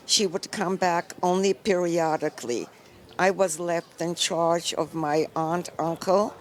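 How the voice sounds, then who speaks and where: An older woman speaks very slowly, at a middling pitch. Her account is composed and measured, delivered as live interview testimony. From Poland. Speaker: Holocaust survivor interviewee.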